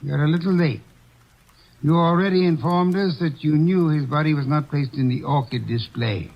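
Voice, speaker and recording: An actor playing a portly, unflappable older detective who speaks in a measured, pompous way, in 1940s radio acting. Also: male voice, fairly high pitch. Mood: smug. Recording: dull old radio broadcast recording.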